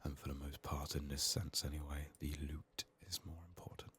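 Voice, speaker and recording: A man doing soft-spoken, often whispered ASMR game commentary, muttering to himself with a voice strained by illness. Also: a bass voice, level tone, normal speed, a little hiss or hum. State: sick, resting a strained voice. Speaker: video game streamer.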